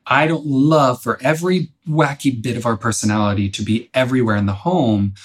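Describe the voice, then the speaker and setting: A young man, a comedian guest on a podcast, talking in an earnest, reflective, animated way. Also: mid-range voice, brisk. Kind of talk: casual podcast conversation.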